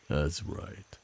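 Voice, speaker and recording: A man playing a flirtatious, protective werewolf of the forest in an intimate ASMR roleplay, with a very deep, gravelly, husky voice and a teasing, deliberate delivery. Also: exaggerated voice. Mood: stern, teasing. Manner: slow, teasing, chuckling, deliberate pauses.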